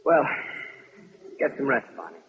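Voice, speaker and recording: An actor playing an affable young military flier and fond sweetheart in a 1950s radio drama. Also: male voice, medium pitch, big pitch swings, measured pace. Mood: rueful, tender. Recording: old radio broadcast, narrow and very noisy.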